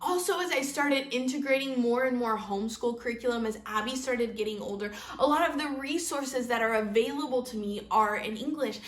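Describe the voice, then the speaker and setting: Woman, 30s, homeschool vlog host, high voice, earnest explainer, echoey room. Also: fairly quick, few pauses. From Kansas, United States. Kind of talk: casual vlog talk to camera.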